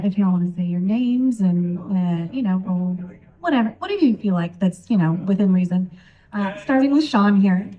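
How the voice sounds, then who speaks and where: A woman's voice: medium pitch, lo-fi sound. A woman moderating a conference panel, casual and bubbly, laughing easily as she introduces the speakers. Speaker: community manager and panel moderator. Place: conference stage.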